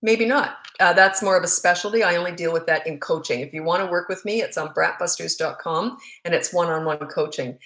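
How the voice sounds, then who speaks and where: A woman's voice: fairly low pitch, brisk, few pauses, a bit bright. A middle-aged woman with a Canadian accent, a parenting coach answering viewer questions live in a frank, chatty, rambling way.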